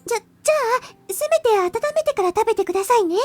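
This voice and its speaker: Very high-pitched, devoted anime schoolgirl. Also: expressive, racing, darkish tone, soft background music. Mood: cute, slightly pouty. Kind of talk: Japanese visual novel voice acting.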